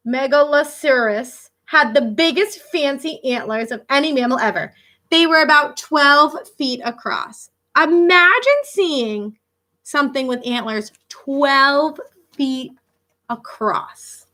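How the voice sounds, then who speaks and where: A woman teacher reading a children's book aloud in an expressive, emphatic way, adding asides and questions for her young listeners. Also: high voice.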